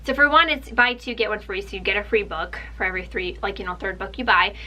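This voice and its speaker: A young woman whose first language is Russian, chatting excitedly through a casual book haul with plenty of "like" and "um". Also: medium pitch, quick pace, soft background music. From Ukraine. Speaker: book vlogger.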